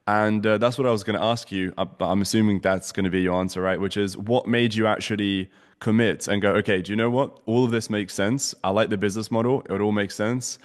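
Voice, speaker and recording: A man's voice: fairly low pitch, steady tone, a little fast, long reverb tail. A man hosting a casual interview, upbeat and promotional, asking long winding questions. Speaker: host, agency mentor.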